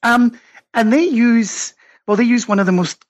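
A man's voice: extremely high pitch, moderate pace, muffled recording. A Scottish man being interviewed on a podcast, explaining his research thoughtfully with many fillers and restarts. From Scotland. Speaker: guest author and researcher.

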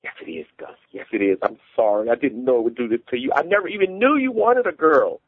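A man's voice: mid-range voice, fast talker, dry recording, narrow, tinny audio, pitched above the performer's own voice, lightly acted. A man voicing a distraught Southern wife and mother who pleads and whines in rural dialect. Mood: insistent.

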